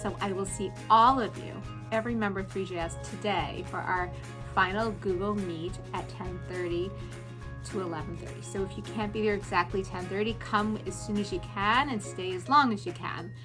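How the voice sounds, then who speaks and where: A woman teacher giving class announcements and reading a story aloud with deliberate, expressive emphasis. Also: mid-range voice, over music. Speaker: elementary school teacher.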